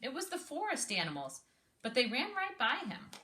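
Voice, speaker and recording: Bright-voiced woman reading to children. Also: sits high, normal speed, a little hiss or hum. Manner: animated, prompting, encouraging. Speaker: read-aloud host, speech therapist. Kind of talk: children's picture-book read-aloud with questions.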